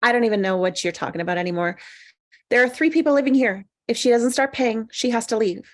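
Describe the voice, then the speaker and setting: Woman playing an awkward, high-voiced, defensive single woman. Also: fast talker, lightly acted. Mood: exasperated. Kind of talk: screenplay table read.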